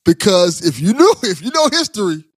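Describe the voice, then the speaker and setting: A man hosting a casual interview podcast, speaking appreciatively in a halting way and repeating words as he starts. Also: high voice, sing-song, slightly rough, no echo, studio quality. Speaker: podcast host.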